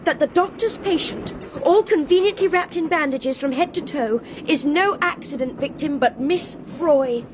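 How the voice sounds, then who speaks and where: A woman's voice: high voice, normal speed, few pauses, noisy background, natural voice. An actress playing an earnest, headstrong young Englishwoman, urgent and emphatic, in a British radio drama. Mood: urgent, defiant.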